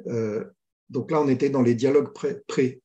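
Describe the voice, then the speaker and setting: Man, mediator, mellow warm tone, hesitant, lots of restarts, storytelling. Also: medium pitch, fairly even intonation, normal speed, muffled recording. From France. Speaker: mediator guest. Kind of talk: conversational interview.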